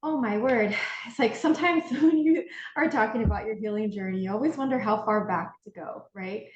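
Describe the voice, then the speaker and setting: A woman's voice: on the high side, a little fast, a little mellow, muffled recording. A woman from Hawaii, a podcast guest telling her story in a chatty way, acting out what others told her and often restarting her sentences. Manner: chatty, acts out remembered remarks, frequent restarts. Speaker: podcast guest, integrative health practitioner. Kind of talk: casual storytelling interview. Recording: roomy echo, faint noise.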